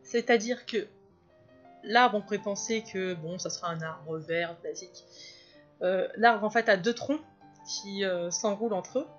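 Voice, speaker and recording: A chatty woman talks through her drawing in a loose, rambling way, often drifting into asides. Her voice sits at an ordinary middle pitch. The sound is a bit dull and lo-fi, with faint music underneath. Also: a little slow. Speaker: illustrator and video host. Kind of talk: casual drawing commentary.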